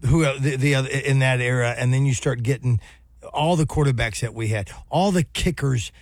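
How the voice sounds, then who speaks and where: A man's voice: medium pitch, fairly quick, dry recording, studio quality. A man in his sixties co-hosting a casual sports talk show, telling rambling nostalgic stories with many restarts. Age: 60s. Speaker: sports radio co-host.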